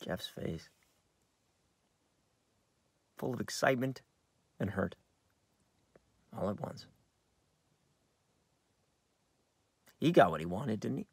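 A wounded, resentful American man in his thirties played by an actor delivers a halting stage monologue. His pitch swings dramatically and his voice has a creaky edge. The sound is close and dry. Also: lively intonation, dry recording, full character voice. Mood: wounded, brooding. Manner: theatrical, halting, shifting from hushed to outbursts. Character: bitter, wounded screenwriter brooding over his twin brother. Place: inside a parked car.

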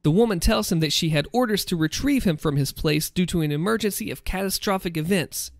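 A man hosting a gaming video, reading and commenting in a deliberate, theatrical narrator style. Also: high-pitched, vocal fry, no echo, clean studio sound.

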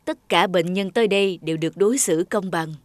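A woman doing a calm, kindly cartoon doctor. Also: on the low side, a little fast, dry recording, studio quality, close to an everyday voice. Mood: gentle. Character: calm, kindly middle-aged male doctor.

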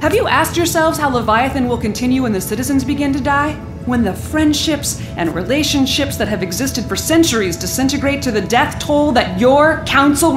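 A woman's voice: fairly high pitch, close to an everyday voice. An actress playing a bold, sly woman who claims leadership, speaking emphatically in an audio drama. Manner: emphatic, oratorical. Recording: roomy echo, music underneath.

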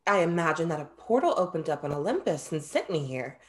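A spirited Greek muse, played by a young woman in a staged reading. Her pitch swings widely as she speaks in formal, theatrical phrases, proud and playful. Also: very animated, brisk, a little hiss or hum. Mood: curious. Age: young adult. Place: indoor room, video call.

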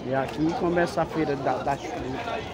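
A man's voice: a little high, fairly even intonation, moderate pace. A man with a Northeastern Brazilian accent from Pernambuco, chatting casually and greeting people as a market vlogger. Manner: calls out and greets passersby informally. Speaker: vlogger filming a street market. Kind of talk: casual chatty walk-through commentary. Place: outdoor street market. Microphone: handheld phone held by the person filming. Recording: busy outdoor crowd and street noise.

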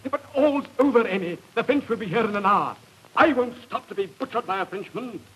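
An actor with a British accent playing a pompous, cowardly, blustering gentleman in a 1950s radio drama. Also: high voice, animated, a little fast, poor audio. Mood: panicked. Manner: blustering, stammering. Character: pompous, cowardly, greedy military-posing English gentleman. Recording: hissy 1950s radio recording, rough sound.

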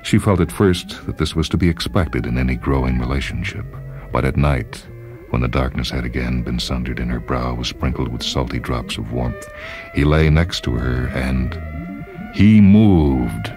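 Male narrator, extremely deep. Dramatic short-fiction reading, music bed. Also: normal speed. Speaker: narrator, story reader. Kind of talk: dramatic literary story narration.

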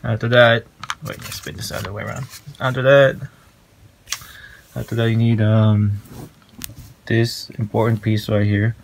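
Halting, flat-toned man with background hiss. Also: fairly low pitch, creaky. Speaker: tutorial presenter. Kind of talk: casual hands-on tutorial. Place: small room at home, indoors. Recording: noisy handheld home recording.

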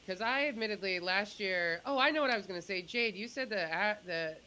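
A woman in her thirties with a bright, forward voice hosts an informal video-call chat. Her pitch sits in the middle for a woman. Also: lively intonation, brisk, a little hiss or hum, poor audio. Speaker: host. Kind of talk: casual chat.

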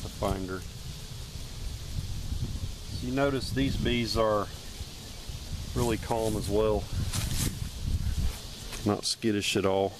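A man casually narrating a hive inspection outdoors, with an unhurried, plain-spoken, matter-of-fact way of talking. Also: fairly low pitch, steady tone, background noise. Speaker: beekeeper and video host. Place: outdoors among trees beside beehives.

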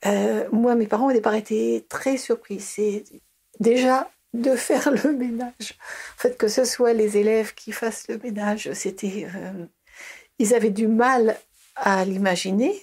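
A woman's voice: fairly high pitch, fairly even intonation, measured pace, darkish tone, slightly rough. A middle-aged woman recalling her school years in a casual interview, hesitant, with many fillers and restarts. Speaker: interviewee, early childhood educator.

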